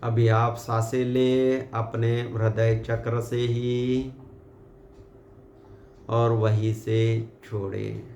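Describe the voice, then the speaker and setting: A man with an Indian accent leading a guided meditation, giving calm, unhurried and gently directive instructions. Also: medium pitch, vocal fry. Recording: muffled, roomy sound with background hiss.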